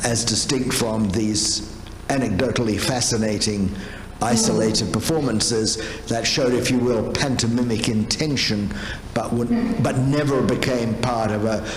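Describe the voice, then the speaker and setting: A man asking long, formally phrased academic questions in a measured, deliberate way. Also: mid-range voice. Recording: echoey hall with noise.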